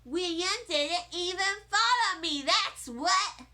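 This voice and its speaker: A feisty little kindergarten girl acted by a woman tells her storybook tale in a high, forceful voice. She takes her time, leaning on words with childlike drama and impish cheek. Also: expressive, takes it slowly, heavily acted. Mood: excited, boastful. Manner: childlike, emphatic, dramatic phrasing with kid grammar. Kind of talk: expressive children's storybook reading.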